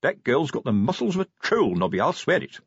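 A pompous older police sergeant, voiced by a male narrator, fatherly and prudish, with big swoops of pitch. He talks in hesitant, colloquial, self-important phrases, as in a comic storybook. The sound is slightly muffled and husky-free, with very little room. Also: big pitch swings, fairly quick, no room sound. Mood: earnest, embarrassed. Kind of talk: audiobook character dialogue.

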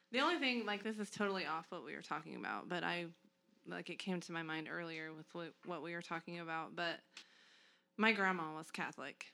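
A woman's voice: lowish voice, gravelly, sharp tone. A woman co-hosting a podcast, sharing reflective thoughts in a meandering way. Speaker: podcast co-host. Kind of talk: casual conversation.

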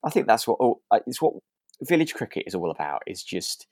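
A man's voice: on the low side, sing-song, a little fast, darkish tone, no echo, clean studio sound. A man co-hosting a podcast, chatting casually about a radio drama and circling back over his words as he thinks. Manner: hesitant, repeats himself as he thinks. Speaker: podcast co-host.

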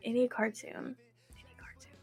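A woman's voice: normal speed, a little mellow, no echo, soft background music. A young woman from Alabama, a podcast guest in casual chat, talking in a bubbly, giggly and emphatic way.

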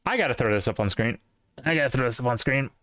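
A man co-hosting a podcast in casual chat, joking and animated, his voice jumping up when he gets excited. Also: brisk, no echo. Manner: animated, joking, jumps up in pitch. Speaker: podcast co-host.

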